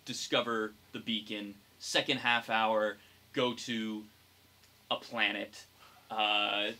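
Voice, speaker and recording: Young male co-host, slightly low but bright voice, explanatory, informal, thinks aloud. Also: flat delivery, slow pace. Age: young adult. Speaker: podcast co-host. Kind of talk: casual podcast discussion.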